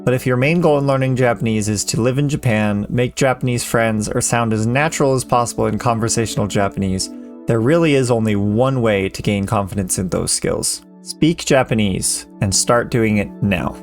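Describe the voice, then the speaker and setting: A man in his thirties, a language teacher, reading a measured, earnest voice-over lesson. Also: mid-range voice. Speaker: Japanese teacher. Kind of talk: scripted educational voice-over. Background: soft background music.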